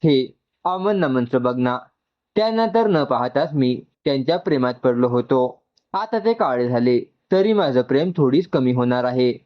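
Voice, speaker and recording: A man's voice: mid-range voice, lively intonation, normal speed, muffled recording, lightly acted. A man voicing a loving, polite young doctor in an audio storybook.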